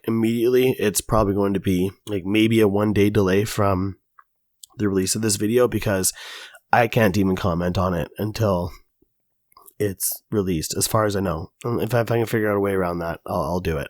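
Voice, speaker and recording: A man recovering from an illness, his voice raspy, talking casually through a coding tutorial with lots of filler words. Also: on the low side, steady tone, brisk, a little mellow, no room sound, studio quality. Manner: casual, chatty, many fillers. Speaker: tutorial host. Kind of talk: screen-recorded coding tutorial.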